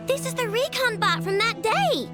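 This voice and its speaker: A woman playing an excitable, bossy and impulsive girl companion in a video game. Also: extremely high pitch, measured pace, loud music behind the voice. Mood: excited.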